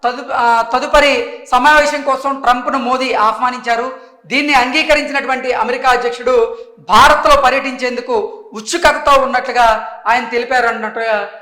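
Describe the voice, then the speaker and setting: News presenter, male, strikingly high pitch, sharp emphatic delivery, roomy sound. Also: steady tone, moderate pace. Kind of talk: news report with commentary. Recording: roomy, echoing voice recording.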